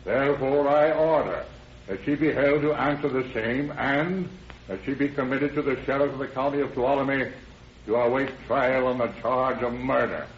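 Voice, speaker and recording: An actor playing a solemn American judge in a 1930s radio drama, speaking in formal, measured declarations. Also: male voice, medium pitch, fairly even intonation, close to an everyday voice. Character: solemn male judge committing a defendant for trial. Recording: muffled, echoey old radio recording, faint noise.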